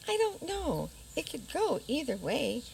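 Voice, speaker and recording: A woman acts out a defiant, scheming princess in a radio play. The voice is gravelly at the edges and very animated, with a pointed, assertive delivery. Faint noise sits behind it. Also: sits high, a little slow, exaggerated voice, poor audio. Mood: exasperated. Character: blunt, scheming, defiant fairy-tale princess. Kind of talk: community radio theater acting.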